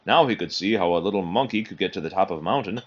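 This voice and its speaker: A man reading a children's picture book aloud in an expressive, animated storytelling way. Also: low voice, quick pace. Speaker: storybook reader. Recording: dull, muffled lo-fi recording.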